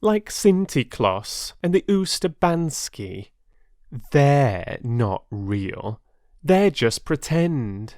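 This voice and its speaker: A man's voice: medium pitch, big pitch swings, extremely slow, darkish tone, no echo, studio quality, voice pushed up. A man voicing a scornful, smug older boy in a storybook, talking in a mocking, matter-of-fact way. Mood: smug, dismissive.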